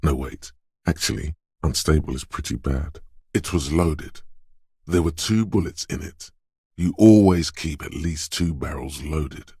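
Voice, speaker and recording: An actor playing the coolly urging inner voice of a detective's reflexes in a video game, narrating to the listener in a deliberate, intimate, theatrical way. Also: male voice, warm tone, dry recording, studio quality, heavily acted. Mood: matter-of-fact. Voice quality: very deep, smooth, resonant. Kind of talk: dramatic second-person game narration.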